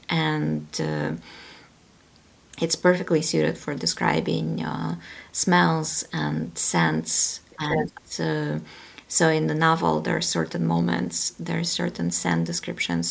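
Gravelly-voiced woman, slow and thoughtful. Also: sits low. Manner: thoughtful, hesitant, many fillers. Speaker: guest, author and translator. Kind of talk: interview conversation.

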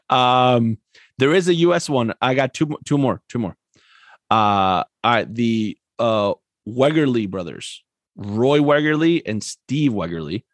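A man co-hosting a casual sports podcast, relaxed and chatty, laughing often and filling pauses with "um". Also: medium pitch, dry recording, clean studio sound. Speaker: podcast co-host.

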